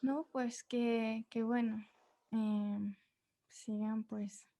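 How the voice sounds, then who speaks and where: Co-host, female, flat, gravelly and dark voice, hesitant delivery. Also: a little high, very slow. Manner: hesitant, many fillers like "pues" and "em". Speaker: podcast co-host. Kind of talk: casual chat.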